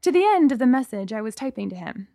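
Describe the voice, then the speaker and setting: Wry young woman narrating, higher voice. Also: sing-song, fast, gravelly, dark tone, no room sound, clean studio sound. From Singapore. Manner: wry, candid storytelling. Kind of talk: first-person memoir narration.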